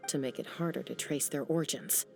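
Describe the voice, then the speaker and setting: A woman's voice: deep voice, brisk, creaky, darkish tone, soft background music. A woman playing a tough young gunslinger who questions a prisoner with blunt, commanding, clipped questions in a game cutscene.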